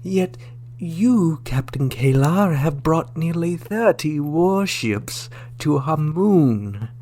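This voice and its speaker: Man acting a very slow-spoken alien diplomat. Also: fairly high pitch, lively intonation, darkish tone. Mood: measured, placating. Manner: formal, deliberate, halting. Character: measured, placating reptilian Cardassian alien diplomat. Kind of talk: sci-fi radio drama acting.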